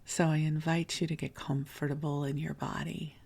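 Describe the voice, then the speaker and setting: A middle-aged woman hosting a sleep podcast, speaking slowly and softly, half in a whisper. She has a froggy, gravelly morning voice and records from bed under a blanket fort. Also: deep voice, level tone. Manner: slow, soothing, partly whispered. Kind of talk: soft-spoken sleep podcast talk.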